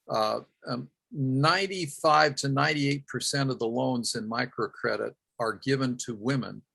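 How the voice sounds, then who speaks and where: A man's voice: medium pitch, creaky, a bit bright, breathy edge. A man presenting at a workshop, recounting a field project and answering questions, with frequent "uh" fillers and self-corrections.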